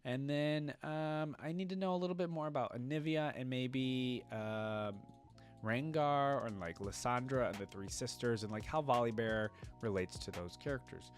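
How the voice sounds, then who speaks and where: A man's voice: mid-range voice, moderate pace, smooth, faint music behind. A young man hosting a reaction video, giving informal commentary and musing aloud as he reads and reacts.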